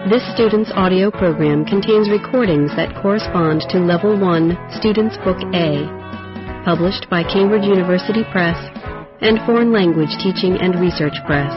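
A woman with a fairly low voice reads a formal introduction to an audio program. Music plays clearly behind her, and the tape sound is a little dull. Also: moderate pace.

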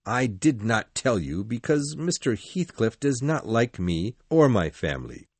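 A man voicing a grave, protective middle-aged father in storybook dialogue, quiet, earnest and warning. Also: mid-range voice, very animated, unhurried, slightly rough, dry recording.

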